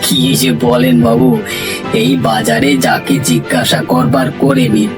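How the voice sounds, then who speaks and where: A man's voice: medium pitch, animated, a little slow, over music, close to an everyday voice. A man voicing an obliging, proud cartoon fishmonger with a respectful salesman's patter. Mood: proud, assuring.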